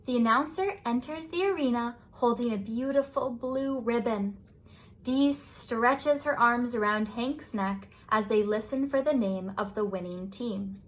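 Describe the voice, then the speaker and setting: Lady reading a kids bedtime story, kinda high voice, really slow and expressive. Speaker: children's storybook reader. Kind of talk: scripted bedtime story narration for children.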